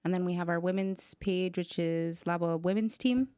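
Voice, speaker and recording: A woman's voice: on the low side, fairly even intonation, normal speed, mellow, clean tone. Chatty woman guest, filler-heavy talk. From Mexican-American, grew up in the US. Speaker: guest, women's cycling team director. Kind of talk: casual podcast chat.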